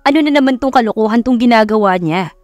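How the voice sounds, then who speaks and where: A woman's voice: fairly high pitch, animated, fast talker, a little mellow, no room sound, soft background music, lightly acted. A Filipino woman voicing a proud, demanding male boss in an audiobook, curt and commanding. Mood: distressed, demanding. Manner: curt, commanding, switches languages. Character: proud, demanding young billionaire boss, male, easily baffled.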